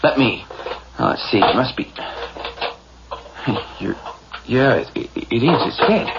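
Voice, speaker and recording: An actor playing an anxious husband who tries to sound calm in a suspense radio drama, halting and stammering. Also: male voice, medium pitch, gravelly. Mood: falsely calm, uneasy. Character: anxious husband putting on calm in a menaced house. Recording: muffled, noisy old radio drama recording.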